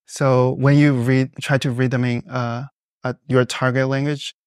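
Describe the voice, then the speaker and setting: A young man speaks in a smooth, clear voice that stays flat and even in pitch. He answers interview questions thoughtfully, with many small pauses and fillers. The sound is dry and close. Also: no echo. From China. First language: Mandarin Chinese. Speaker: guest.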